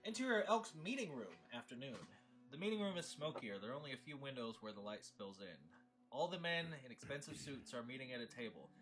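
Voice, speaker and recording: A man's voice: mid-range voice, big pitch swings, a little fast, a bit bright, soft background music. A man reading stage directions as narrator at a film table read.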